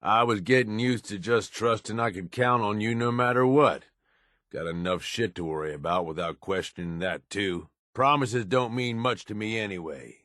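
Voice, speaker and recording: An actor playing a jaded, foul-mouthed middle-aged raider sidekick in a video game, with a gravelly American voice and a gruff, blunt way of talking. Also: male voice, normal speed, fairly bright tone, dry recording, studio quality, close to an everyday voice. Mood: concerned, frank. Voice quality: husky, gravelly low voice. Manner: gruff, blunt, folksy tough talk. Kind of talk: video game companion dialogue.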